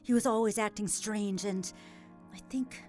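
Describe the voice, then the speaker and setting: A woman playing a grieving, hesitant farm widow in an audio drama, speaking in a halting, emotional way. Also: mid-range voice, fairly even intonation, a little mellow, faint music behind, lightly acted. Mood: hesitant, troubled. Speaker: voice actor.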